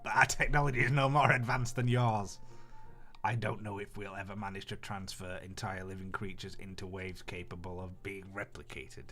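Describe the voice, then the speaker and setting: A polite, naive alien queen from an anime game, read by a man in his everyday register. The delivery is formal and matter-of-fact, with a bright, crisp edge. Faint music plays underneath. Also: lowish voice, steady tone, normal speed, voice pushed down. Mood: courteous, explanatory. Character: polite, literal-minded female Martian queen, naive about humans. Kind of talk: visual novel read aloud in character.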